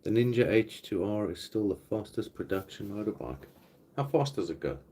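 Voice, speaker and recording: A South African man with a very low voice hosts a relaxed livestream chat from home. He rambles easily between topics and reads out comments from the viewers. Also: normal speed, slight background noise. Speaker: livestream host. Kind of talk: casual live Q&A chat. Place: home, indoors.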